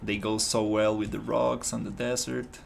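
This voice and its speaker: Gravelly man thinking aloud. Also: on the low side, level tone, normal speed. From Costa Rica. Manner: thinking aloud, loose and rambling with many restarts. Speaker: indie game developer streaming his work. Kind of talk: casual livestream commentary while working.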